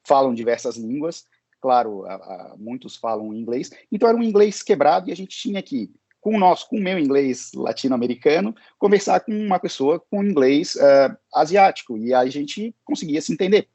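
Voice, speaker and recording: A man's voice: on the high side, expressive, moderate pace, dark tone, dry recording. A man teaching English, answering interview questions in a hesitant way, with many fillers and restarts.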